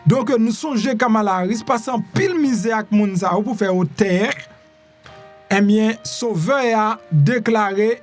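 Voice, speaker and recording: A man with a high-pitched voice and a Haitian accent comments on the news in an informal, conversational way. He repeats phrases and throws out rhetorical questions, with music playing behind him. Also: unhurried. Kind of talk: informal news commentary in Creole with some French and English.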